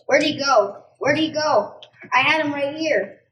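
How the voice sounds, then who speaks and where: A woman playing a brisk, blunt small-town police officer in an amateur radio play. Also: sits high, fairly bright tone. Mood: firm. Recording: echoey, distant mic, faint noise.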